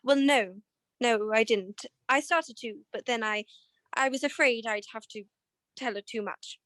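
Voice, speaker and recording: A woman's voice: fairly high pitch, unhurried, a bit bright, no echo. A woman playing an evasive, anxious, well-to-do married client in a radio detective drama, speaking in a genteel, hesitant way. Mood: explaining, uneasy.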